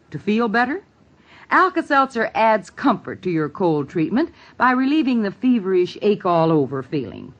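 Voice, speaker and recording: A woman announces a scripted commercial in 1950s radio style. Her medium-pitched voice rises and falls in a very animated way, and the old recording sounds muffled and lo-fi. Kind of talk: 1950s radio advertisement read.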